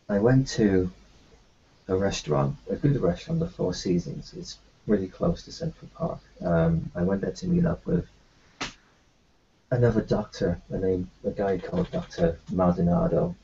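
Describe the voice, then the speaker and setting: A man voices his doctor character in a tabletop roleplaying session. He speaks in a lowish, gravelly voice that stumbles through ums and restarts. The recording is muffled and lo-fi. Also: animated, dark tone. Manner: hesitant, halting speech with many ums and restarts. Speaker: tabletop player.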